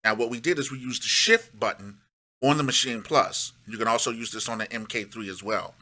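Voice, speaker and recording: A man's voice: mid-range voice, a little fast, sharp tone. A middle-aged man giving a casual, step-by-step music production tutorial, emphatic and repeating his key phrases.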